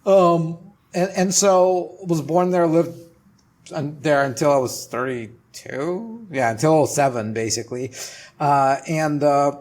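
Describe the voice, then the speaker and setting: A man's voice: on the high side, unhurried, a little mellow. A man in his forties from Russia with a Russian accent, telling his story as a podcast guest, with frequent restarts and fillers. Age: 40s. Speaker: guest, trademark attorney. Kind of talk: casual podcast interview.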